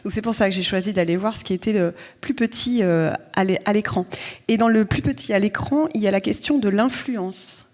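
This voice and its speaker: A woman, a local elected official, giving round-table remarks in a halting way with repeated words. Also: mid-range voice, a little mellow.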